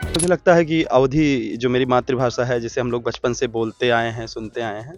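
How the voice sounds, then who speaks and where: A man's voice: medium pitch, steady tone, normal speed, highs cut off, faint music behind. A man answering an interviewer's question, explaining in a thoughtful, lecture-like way.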